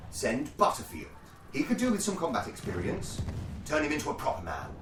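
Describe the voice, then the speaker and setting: A man playing a brisk, bluff British army captain in a war radio play, with a clipped, jovially authoritative manner. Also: mid-range voice, wildly swooping pitch, background noise. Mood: brisk authority. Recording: roomy, distant mic with background sound bed.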